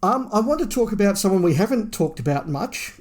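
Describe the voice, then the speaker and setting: A man's voice: sits high, very animated, fairly quick, a little mellow. A man co-hosting a podcast, giving an opinionated review in casual discussion, often repeating phrases for emphasis. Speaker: podcast co-host reviewing audio drama.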